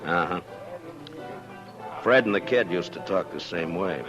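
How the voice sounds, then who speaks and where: A man's voice: low-pitched, unhurried. A man playing a weary, plain-spoken western marshal in a 1950s radio drama, laconic and understated with an air of authority. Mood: low-key warning. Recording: dull old 1950s radio recording, music behind.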